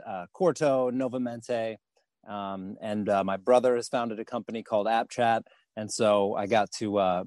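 A man's voice: lowish voice, a little mellow, no echo. Guy guesting on a podcast, lots of ums and restarts, dry close sound. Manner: halting, many fillers and restarts. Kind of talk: podcast interview.

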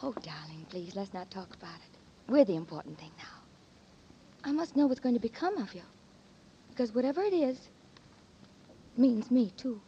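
A woman's voice: a little high, animated, normal speed, pauses often, dark tone, a little hiss or hum. An actress playing a devoted, anxious young woman in love in a 1940s radio drama, with breathless, tender, melodramatic delivery. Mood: tender, pleading. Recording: muffled vintage 1940s radio recording.

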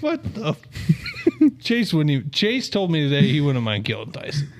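A man's voice: fairly high pitch, wildly swooping pitch, normal speed. A man co-hosting a casual podcast chat, joking around and laughing often. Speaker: podcast co-host.

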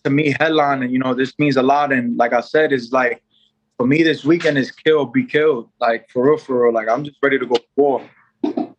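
Young boxer talking loose and rambling. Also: male voice, medium pitch, steady tone, fairly quick, muffled recording, slight background noise. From New York. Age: 20s. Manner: loose and rambling, many fillers and restarts. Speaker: boxer, guest. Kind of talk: casual interview chat.

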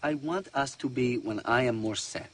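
A man's voice: mid-range voice, moderate pace, lightly acted. An actor playing a flustered, defensive man who stammers and repeats himself in film dialogue. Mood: flustered, exasperated. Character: flustered, defensive man arguing about money. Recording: distant, noisy film soundtrack clip.

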